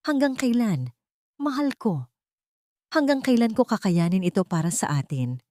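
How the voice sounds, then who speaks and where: Audio-story role: a woman as a heartbroken, loving Filipino husband, bitter, pleading, expressive pitch swings. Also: moderate pace, no echo, clean studio sound, lightly acted. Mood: pained pleading. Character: wounded, long-suffering loving husband, bitter and pained.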